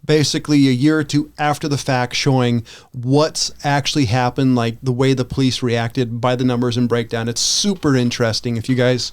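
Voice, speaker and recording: A man's voice: mid-range voice, flat delivery, moderate pace, few pauses. A man co-hosting a podcast in casual chat, rambling along in an informal, enthusiastic way. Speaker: podcast co-host.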